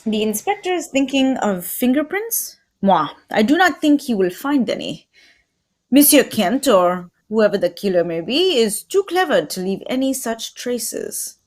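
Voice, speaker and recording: Radio mystery: woman as observant, courteous Belgian sleuth with French-tinged accent, high for the part, very varied intonation. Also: big pitch swings, darkish tone. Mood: measured. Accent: put-on Belgian French. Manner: formal, deliberate, sprinkles French words. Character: polite, shrewd Belgian male detective, unhurried and observant. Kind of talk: old-time radio mystery acting.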